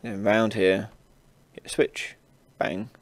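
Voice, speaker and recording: A man hosting an informal software tutorial, casually explaining each step as he demonstrates it on a close mic. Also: fairly low pitch, flat delivery, slow pace, no room sound. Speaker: tutorial host. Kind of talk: informal screen-recorded software tutorial narration.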